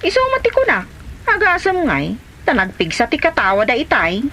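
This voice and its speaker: A woman plays a touchy, gossipy Ilocano wife and mother in a comedy drama. Her voice runs a little high with lively swings in pitch, over faint ambience. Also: moderate pace, a little hiss or hum, exaggerated voice. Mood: indignant. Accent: Filipino, Ilocano. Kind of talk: comedy radio drama acting.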